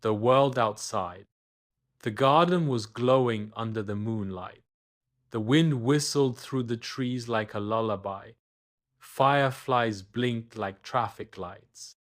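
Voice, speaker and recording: Casting: man to narrate kids' bedtime stories, unhurried, mid-range voice, expressive with dramatic pauses. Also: medium pitch. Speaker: storyteller, narrator. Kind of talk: scripted children's bedtime story narration.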